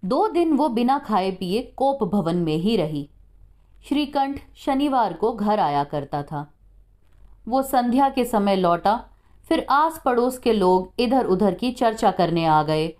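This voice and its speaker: A woman narrating a scripted short story in a measured, expressive storytelling manner. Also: medium pitch.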